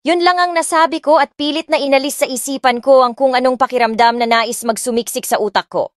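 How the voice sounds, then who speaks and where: A woman's voice: sits high, steady tone, brisk. A woman narrating a story in the first person as a spoiled, defiant rich young woman, reading expressively and mixing English lines into Tagalog. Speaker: audiobook narrator. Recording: dry close mic with soft music.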